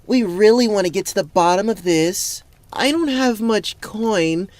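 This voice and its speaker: A sassy, sultry tailed seductress voiced by a woman, recorded close and dry. Her teasing, jaded drawl is unhurried and swings widely in pitch, as in a fantasy radio play. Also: darkish tone, dry recording. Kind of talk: fantasy audio drama acting.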